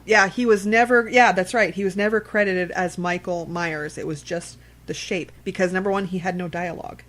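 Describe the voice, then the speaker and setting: A woman's voice: lowish voice, steady tone, moderate pace, a bit bright. A woman co-hosting a podcast in casual conversation, blunt and salty-tongued, retelling things with animation and repeated phrases. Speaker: podcast co-host. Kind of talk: casual film discussion.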